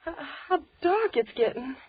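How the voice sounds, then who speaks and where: An actress playing an excitable, naive young city woman with a girlish, slangy way of talking in a 1930s radio play. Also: very high voice, moderate pace. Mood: anxious. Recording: muffled, hissy 1930s radio recording.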